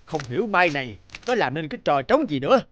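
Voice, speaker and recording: Stern dad with animated, swooping voice. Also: male voice, on the high side, quick pace, lo-fi sound, a little hiss or hum. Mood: scolding, exasperated. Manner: scolding, exasperated, emphatic. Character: stern, hot-tempered middle-aged father of a teenage girl. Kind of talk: animated drama voice acting.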